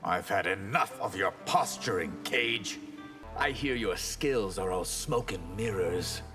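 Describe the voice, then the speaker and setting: A proud water mage from a fighting game. His voice is on the low side, grave and formal, with humorless menace. Music runs behind him. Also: animated, slow. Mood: haughty menace. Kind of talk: video game fight-intro banter.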